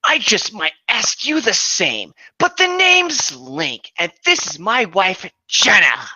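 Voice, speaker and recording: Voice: mid-range voice, big pitch swings, takes it slowly, bright, forceful tone, breathy edge, no echo, voice pushed up, full character voice, rough recording. A performer voicing a cautious fantasy hero, drawn out, in a mocking story reading. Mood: guarded. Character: Link, cautious fantasy hero introducing his wife.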